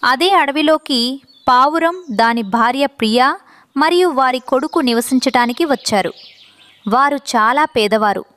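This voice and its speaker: A woman reading scripted narration for a children's animated story. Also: high voice, normal speed.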